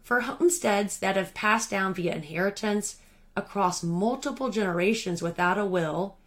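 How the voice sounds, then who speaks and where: A woman reading a written article aloud as scripted narration, in a measured, formal manner. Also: mid-range voice.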